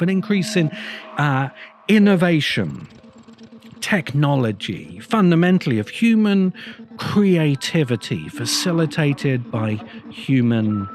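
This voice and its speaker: Slow, expressive man hosting a podcast. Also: medium pitch, a little hiss or hum. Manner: grave, deliberate, emphatic phrasing. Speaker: podcast host. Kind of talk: reflective monologue, video essay.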